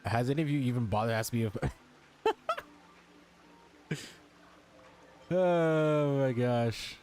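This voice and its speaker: A man's voice: medium pitch, slow pace, a little mellow, slight background noise. A man doing casual, profane gaming commentary, joking and reacting in slang. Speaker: gamer reacting to a story quest. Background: faint game music.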